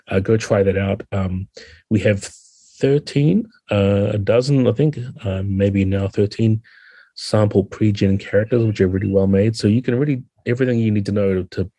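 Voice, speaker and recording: A man's voice: deep voice, mellow, husky, dry recording. A man talking conversationally as a guest game designer on a podcast, earnest and thoughtful.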